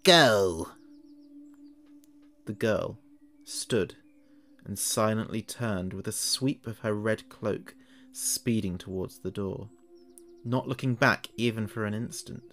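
A man narrating a scripted horror story in a measured, dramatic way. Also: on the low side, fairly even intonation, fairly bright tone, slight background noise.

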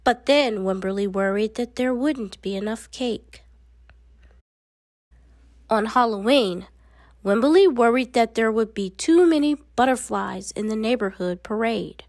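A woman reading a children's picture book aloud in an expressive, deliberate storytelling manner. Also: fairly high pitch, no echo, studio quality. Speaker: storybook reader.

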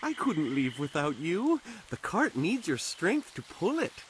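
Fawning foolish husband, slow delivery, extremely animated pitch, doting, game character, faint noise. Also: male voice. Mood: doting, relieved. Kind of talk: video game voice acting.

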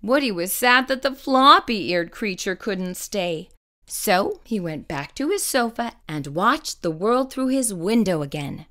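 Bright, animated woman reading a storybook. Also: medium pitch, measured pace. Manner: expressive, carefully phrased for children. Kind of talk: children's picture-book read-aloud.

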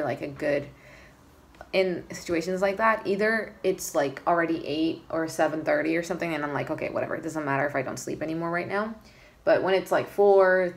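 A woman in her twenties chatting casually to camera, tired and groggy, in a rambling, self-deprecating, informal way. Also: on the low side. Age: 20s. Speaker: vlogger. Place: small room at home, indoors. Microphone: phone or camera at arm's length.

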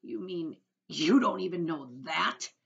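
A woman voicing a rude, brash male centipede in a storybook, loud and mocking. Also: sits low, lively intonation, brisk, breathy edge, exaggerated voice. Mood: scornful. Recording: dull lo-fi recording.

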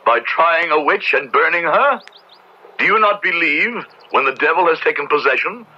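A man's voice: fairly high pitch, expressive, moderate pace, sharp tone, full character voice. A man playing a stern, righteous Puritan minister in old radio drama style, pompous and sermonizing in formal archaic speech. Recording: muffled, noisy old radio drama recording.

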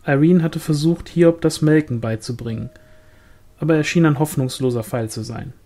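A man's voice: on the high side, normal speed, warm tone. A man reading a novel aloud as scripted narration, in the manner of an audiobook. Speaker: audiobook reader.

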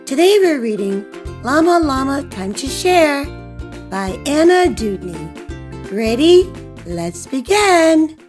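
Slow, high, animated woman reading a picture book. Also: soft background music. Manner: sing-song, deliberate delivery for young children. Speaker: storybook reader and host of a read-aloud channel. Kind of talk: expressive children's picture book read-aloud.

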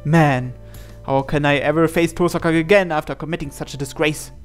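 The kind of higher male voice you would hear on a gaming channel, reading a story game aloud over music. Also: steady tone, a little fast, smooth. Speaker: reader narrating a visual novel playthrough.

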